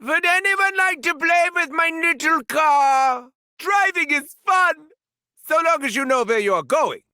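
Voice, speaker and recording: An actor playing a gleefully sadistic German mad scientist villain in a video game, theatrical and grandiose, with a German accent and mocking, formal phrasing. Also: male voice, extremely high pitch, animated, unhurried, pushed and bright, dry recording, studio quality, full character voice. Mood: manic, gleeful. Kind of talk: video game character voice lines.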